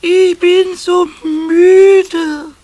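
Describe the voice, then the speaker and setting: A man playing a cheeky, whiny little kobold in a children's radio play, with a squeaky, plaintive delivery. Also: flat delivery, mellow, heavily acted. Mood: tired, whiny. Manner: drawn-out, plaintive. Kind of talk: 1970s German children's radio play acting.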